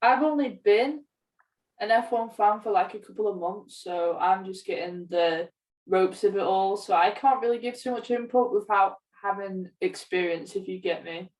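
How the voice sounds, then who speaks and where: A woman's voice: medium pitch, moderate pace, darkish tone. A woman co-hosting a podcast in a casual, conversational style. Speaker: podcast co-host, gaming video creator. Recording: echoey room, distant from mic.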